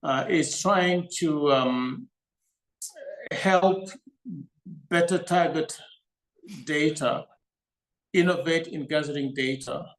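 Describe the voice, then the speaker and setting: A man, an organization's executive chairperson, giving opening remarks at an online meeting, halting often with fillers. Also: a little high, rough recording.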